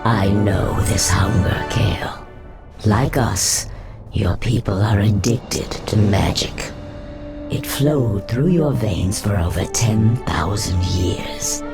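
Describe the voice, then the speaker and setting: Slow, sly naga sorceress. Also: female voice, rumbling low, gravelly, heavily acted. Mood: knowing, tempting. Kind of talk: dramatic fantasy game voice acting. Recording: background music under the voice.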